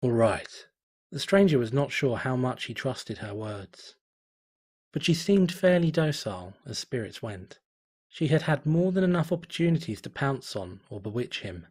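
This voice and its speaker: A man reading a fan fiction story aloud in a measured, deliberate way. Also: medium pitch, big pitch swings, a little mellow, breathy edge. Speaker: narrator. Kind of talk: fan fiction audiobook narration.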